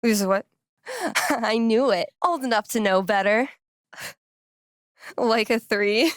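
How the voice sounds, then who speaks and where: A woman's voice: a little high, animated, slow pace, no room sound, studio quality. An actress playing a wry, casual young woman in a video game, teasing and loyal. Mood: playful, teasing. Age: young adult. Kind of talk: naturalistic video game dialogue acting.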